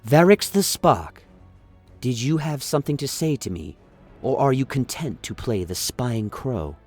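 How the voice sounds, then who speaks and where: A man's voice: lively intonation, darkish tone. A man portrays a mad exiled prince in a fantasy radio play. He is sinister and smirking, drawing out conspiratorial lines, with music in the background. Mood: smirking, taunting. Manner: low, conspiratorial, drawn-out.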